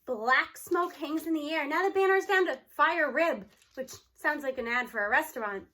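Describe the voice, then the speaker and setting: A woman reading a children's novel aloud in an expressive, animated storytelling manner. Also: sits high, a little fast. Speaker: audiobook reader.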